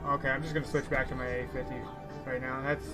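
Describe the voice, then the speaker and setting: A man streaming a game and chatting on voice chat, quick and restless, often repeating himself. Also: medium pitch, flat delivery, loud music behind the voice. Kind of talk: casual gaming chat. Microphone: desk USB mic.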